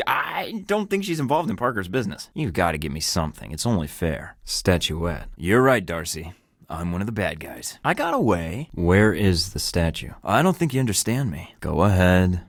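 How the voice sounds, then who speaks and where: An actor playing a cocky, quick-witted young male spy with a dry, quippy delivery in a video game. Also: sits low, no echo, exaggerated voice. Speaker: secret agent.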